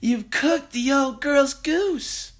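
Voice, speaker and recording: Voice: high-pitched, slow, voice pushed up. A reader doing a wailing, frantic mother. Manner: wailing, pleading. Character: anxious fretful mother, wailing and alarmed. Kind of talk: storybook read-aloud.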